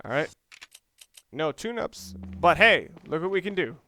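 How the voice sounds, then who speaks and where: A man's voice: a little high, big pitch swings, unhurried, pushed and bright. A man giving casual let's play commentary, rambling and thinking aloud as he explains what he is doing. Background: game music and sounds.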